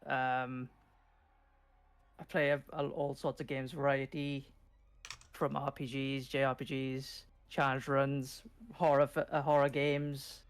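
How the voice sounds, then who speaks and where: A soft-spoken man with a Welsh accent, shy and a little hesitant, chatting casually as a podcast guest. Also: medium pitch, level tone, takes it slowly, clear, resonant tone. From Wales. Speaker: podcast guest, video game challenge runner. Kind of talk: casual interview chat.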